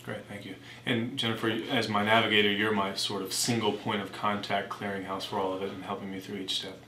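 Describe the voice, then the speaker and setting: A man's voice: on the low side, flat delivery, brisk, bright, forceful tone. A man playing a skeptical but willing and polite cancer patient in a conversational role-play. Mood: polite, cooperative. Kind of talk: amateur role-play dramatization. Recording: echoey room with background noise.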